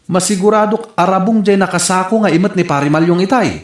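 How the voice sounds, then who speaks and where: A man's voice: high voice, fast talker, echoey room, soft background music, close to an everyday voice. A man with an Ilocano accent playing an indignant, scolding village man in a radio comedy drama. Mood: indignant grumbling.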